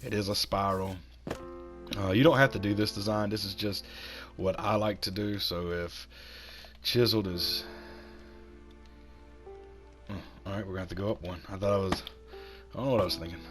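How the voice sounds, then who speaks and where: A man with a cold, hoarse and a little raspy, chatting casually while he builds in a video game and talks himself through each step. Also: sits low, fairly even intonation, normal speed, pauses often, fairly bright tone, faint music behind. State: hoarse from sinus infection. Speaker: gaming channel host.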